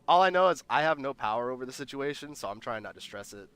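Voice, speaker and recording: A man's voice: medium pitch, animated, quick pace, fairly bright tone, clear, resonant tone. A man hosting a livestream, chatting casually and rambling while reading the chat aloud, congested and nasal. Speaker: livestream host.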